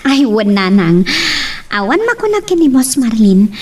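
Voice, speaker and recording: A woman's voice: on the high side, very animated, a little slow, a little mellow, recorded from a distance. A woman acting an earnest, obedient young student daughter with an Ilocano Filipino accent in an audio drama. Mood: earnest, grateful. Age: young adult.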